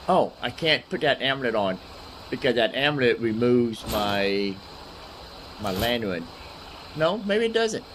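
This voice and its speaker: A man's voice: fairly low pitch, fairly bright tone, a little hiss or hum, poor audio. A man giving casual live game commentary, thinking aloud in a folksy way and repeating his phrases. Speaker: gaming streamer.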